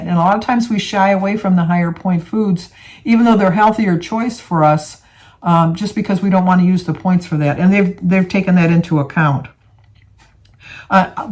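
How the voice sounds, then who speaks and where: A woman's voice: lowish voice, a little mellow. A woman in her seventies from the United States, talking casually and rambling to viewers, with many fillers and restarts. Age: 70s. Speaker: vlogger. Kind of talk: casual talk to camera.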